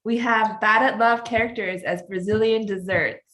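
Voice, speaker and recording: A woman's voice: medium pitch, steady tone, moderate pace, bright, forceful tone, reverberant, poor audio. A woman hosting a casual video-call conversation, enthusiastic and laughing easily. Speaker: host.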